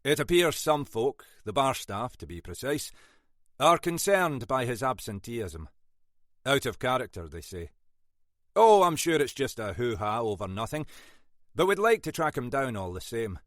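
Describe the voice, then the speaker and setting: A man voicing a calm, dry Scottish male detective inspector with a measured, wry manner. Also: medium pitch, dry recording, voice pushed up.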